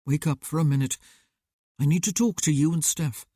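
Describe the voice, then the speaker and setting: A narrator reading as a weary young man from a storybook, calm and measured, in a dark and dry voice. He holds himself steady and restrained. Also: mid-range voice, no room sound, natural voice. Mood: somber.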